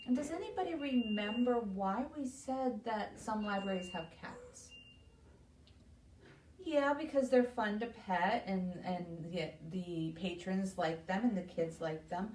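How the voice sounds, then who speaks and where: A woman's voice: mid-range voice, unhurried, a little mellow. A woman working as a children's librarian, reading and explaining to small children with simple questions at story time. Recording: echoey room, distant mic, faint noise.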